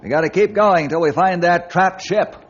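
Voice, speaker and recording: An American actor playing a calm, confident adventure leader in a 1950s radio serial, folksy, brisk and reassuring. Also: male voice, high voice. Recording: muffled old radio recording, noisy background.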